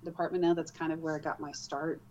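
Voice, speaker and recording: A woman from the Netherlands with a light Dutch accent, an author chatting in an interview, animated and quick to laugh. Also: sits low, highs cut off, slight background noise. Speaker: author, guest. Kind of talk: casual interview.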